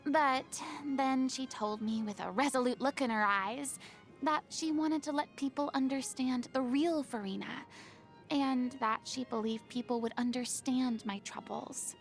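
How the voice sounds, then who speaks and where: A proud young former star of the stage in a video game. Her voice sits high, and she speaks theatrically, vain and boastful yet easily flustered and vulnerable underneath. Faint music plays behind her. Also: measured pace. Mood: hesitant, wistful. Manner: theatrical, boastful, prone to sighs and flustered hesitation. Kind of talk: video game character monologue.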